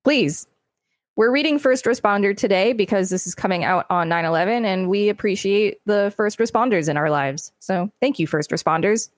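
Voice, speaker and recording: A woman's voice: medium pitch, normal speed, no room sound, studio quality. A woman hosting a podcast, reading encyclopedia articles aloud with brief commentary. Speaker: podcast host and reader.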